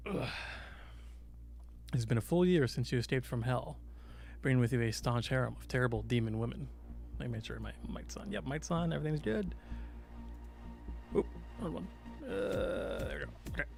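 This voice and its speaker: A man's voice: mid-range voice, lively intonation, normal speed, creaky, pauses often, a little mellow, soft background music. A man streaming a game live, chatting casually and reading out game text in a flustered, apologetic, self-deprecating way.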